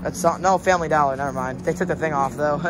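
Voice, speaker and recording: A talkative man narrates a walking vlog with a handheld camera. His voice sits a little high, and he thinks aloud as he goes. Outdoor ambience and passing cars are clearly heard behind him. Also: quick pace. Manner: chatty, thinks aloud, laughs often. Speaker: vlog host. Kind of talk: casual walking vlog commentary. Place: outdoors in a large parking lot. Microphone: handheld camera while walking. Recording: outdoor recording with clear traffic noise.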